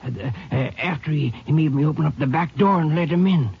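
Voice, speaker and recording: A rough raspy old-timey watchman, kinda high, stumbles over his words, dull old radio sound. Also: fairly quick. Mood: flustered. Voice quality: gravelly, raspy drawl with ungrammatical country speech. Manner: stammers and repeats words when flustered. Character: dazed older male night watchman, rustic and stammering. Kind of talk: 1950s radio drama acting. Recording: dull lo-fi vintage radio recording.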